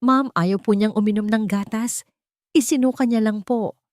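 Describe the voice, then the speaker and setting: Woman voicing a timid, gentle housemaid. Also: medium pitch, moderate pace, no room sound, studio quality, pitched above the performer's own voice, natural voice. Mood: worried, deferential. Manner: polite, deferential, gentle. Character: timid, worried young housemaid and nanny.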